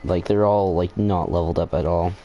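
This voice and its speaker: Low-voiced young man commenting on gameplay. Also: warm tone, dull audio, a little hiss or hum. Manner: halting, thinking aloud. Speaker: gaming video host.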